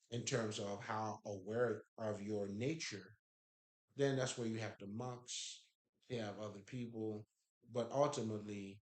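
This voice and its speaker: Man, Haitian accent, mid pitch, emphatic and profane, laughs a lot, echoey room. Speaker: spiritual speaker. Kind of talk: casual spiritual talk.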